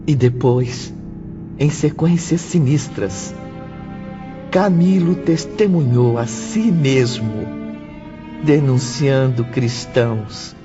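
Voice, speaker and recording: A man gravely narrating a dramatic audiobook. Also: medium pitch, slow pace. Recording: muffled, music behind.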